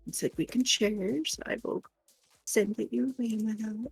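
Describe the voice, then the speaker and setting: A woman's voice: fairly high pitch, moderate pace, vocal fry, slightly rough, faint music behind, rough recording. A woman co-hosting a stream in casual chat, affectionate and encouraging as she talks. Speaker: stream co-host helping with the chat.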